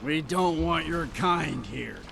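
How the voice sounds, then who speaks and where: Game villain role: sneering bigoted town bully, gravelly, slightly high voice, cowardly underneath. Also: male voice, expressive, slow, music in the background, full character voice. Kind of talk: fantasy game character acting.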